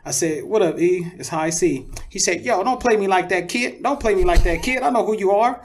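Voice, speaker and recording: A man's voice: sits high, brisk, distant mic. A man, a rapper telling stories casually in slangy, profanity-laced street talk. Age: 30s. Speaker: rapper, interview guest. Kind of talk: casual chat, storytelling.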